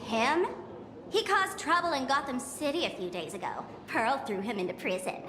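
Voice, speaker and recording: A woman's voice: sits high, lively intonation, moderate pace, noisy background. A woman playing a cheeky young female trickster in a video game, playful, nonchalant and taunting. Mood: knowing. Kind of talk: animated video game acting. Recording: echoey, reverberant, over music.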